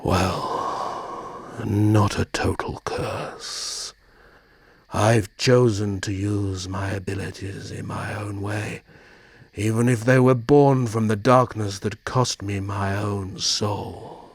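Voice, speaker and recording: A man acts out an immortal vampire prince for an audio drama, brooding yet courteous. The voice is fairly low and breathy, with a husky edge. He tells his tale very slowly, in formal, measured phrases. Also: fairly even intonation, dry recording. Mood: brooding, confiding. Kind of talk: slow dramatic audio roleplay narration.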